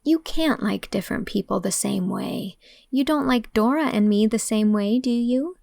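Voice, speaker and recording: A woman voicing a gentle young woman teacher, tender, earnest and reassuring, in an audiobook drama. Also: fairly high pitch, moderate pace, creaky, no echo, clean studio sound. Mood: serious, reassuring.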